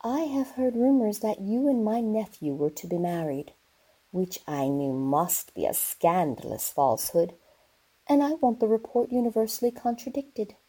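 A woman playing a haughty older aristocratic lady in a radio play, speaking formally and commandingly in a husky voice. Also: mid-range voice, normal speed, warm tone, close to an everyday voice, poor audio. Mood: indignant, imperious. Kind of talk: radio theater acting.

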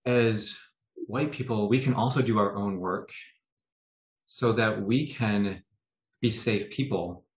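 A man's voice: fairly low pitch, takes it slowly, pauses often, echoey room, poor audio. A man speaking reflectively and affirming his conversation partner in a casual discussion.

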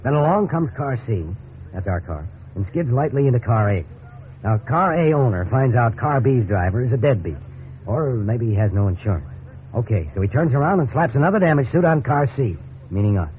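A man's voice: mid-range voice, lively intonation, fairly quick, close to an everyday voice. An actor playing an easygoing but worried middle-aged family man, talking in a folksy, conversational 1950s radio drama style. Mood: calm, reassuring. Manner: conversational, reasoning aloud, folksy. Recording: tinny, noisy 1950s radio recording.

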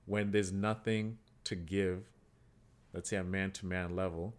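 Man, very low voice, podcast co-host, casual chat, animated, filler-heavy. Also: measured pace. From Kenyan heritage. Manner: animated, repeats himself, heavy use of "like".

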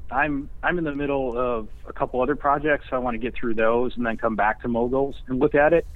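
A man speaking as a guest writer in a casual interview, halting as he thinks aloud. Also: medium pitch, fairly even intonation, phone-quality sound. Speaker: guest screenwriter.

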